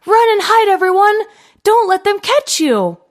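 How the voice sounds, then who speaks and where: A woman voicing a small, earnest and excitable young goat in a storybook, childlike and emphatic. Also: very high-pitched, unhurried, voice pushed up, exaggerated voice. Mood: panicked.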